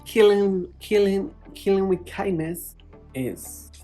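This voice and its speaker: A woman's voice: medium pitch, slow pace, warm tone, soft background music. A woman hosting a plant vlog, chatting casually to camera in a playfully dramatic, exclamatory way. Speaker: plant vlogger, host.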